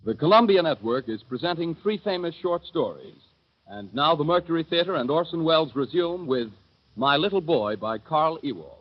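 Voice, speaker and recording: Lively formal 1930s radio announcer. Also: male voice, on the high side, normal speed. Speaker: network announcer. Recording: dull old 1930s radio recording.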